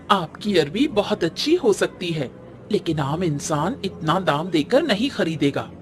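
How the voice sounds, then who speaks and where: A woman's voice: fairly low pitch, slight background noise, close to an everyday voice. Woman playing firm, haggling female shopper. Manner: brisk, argumentative, insistent. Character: firm, haggling, no-nonsense female market customer. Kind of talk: Hindi cartoon story voice acting. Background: background music.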